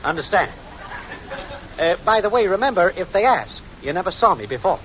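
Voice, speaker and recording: An actor playing a brash, slangy small-time schemer boyfriend with an American accent in a 1940s radio comedy. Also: male voice, high voice, sing-song, normal speed, fairly bright tone. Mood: deflated. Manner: slangy, brash, smooth-talking. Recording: muffled, noisy vintage 1940s radio broadcast.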